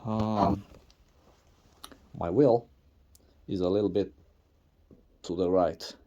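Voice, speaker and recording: Non-native English man, slow and low-pitched, halting workshop vlog narration, shot on a camera mic. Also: mellow, lo-fi sound. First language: not English. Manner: halting, many restarts and fillers. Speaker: DIY video maker.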